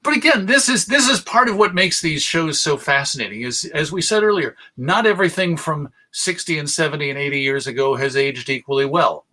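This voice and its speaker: A man's voice: fairly high pitch, lively intonation, brisk, few pauses, pushed and bright. An American man giving a conversational talk to an audience as a radio show host, with wry, jokey asides. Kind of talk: live presentation to an audience, conversational lecture.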